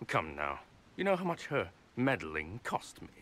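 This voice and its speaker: A scheming aristocrat, smug and patronizing. His low voice swings through animated, pompous phrasing, over a faint bed of ambient noise. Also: a little slow, exaggerated voice. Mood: condescending, persuasive. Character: smug, condescending male nobleman villain, smooth schemer. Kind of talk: video game character acting.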